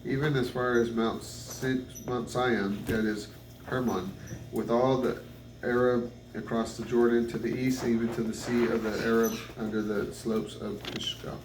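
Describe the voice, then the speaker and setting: A man reads scripture aloud with measured phrasing and the odd stumble. His voice sits at a middle pitch. The recording is roomy, picked up at a distance from the mic, with clear background noise. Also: level tone. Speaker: scripture reader. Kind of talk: Bible reading aloud.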